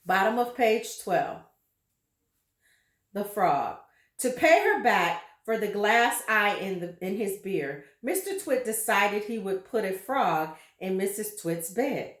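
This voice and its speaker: A woman reading a children's story aloud with deliberate, dramatic emphasis and brief asides to her listeners. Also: medium pitch, big pitch swings, a bit bright, roomy sound.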